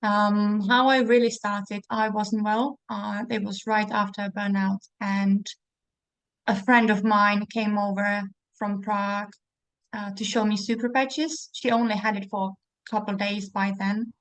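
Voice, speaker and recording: A woman's voice: medium pitch, flat delivery, measured pace, no room sound, poor audio. This is a woman with a Czech accent, hosting an informal interview. Her talk is loose and chatty, full of small fillers and restarts. The sound is dry and close, with no room around it. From Czech Republic. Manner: chatty with frequent fillers and restarts, laughing now and then. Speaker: host. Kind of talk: casual interview chat.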